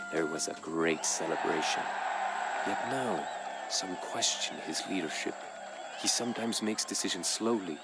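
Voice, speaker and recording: Casting: noble Aztec jaguar warrior narrator, low register, solemn and measured, game cutscene storytelling over music. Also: male voice. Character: grave Aztec jaguar warrior, royal nephew, recounting events. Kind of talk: scripted dramatic narration for a game.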